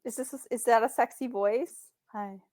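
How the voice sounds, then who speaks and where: Female guest, a bit high, chatty and giggly, dry close recording. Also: steady tone, moderate pace, a little mellow, dry recording. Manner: chatty and giggly, full of fillers like "like". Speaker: podcast guest. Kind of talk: casual movie chat.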